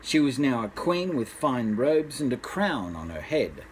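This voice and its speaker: A man's voice: mid-range voice, big pitch swings, measured pace, slight background noise, rough recording. An older man, a grandfather, reads a bedtime story aloud expressively, addressing small children.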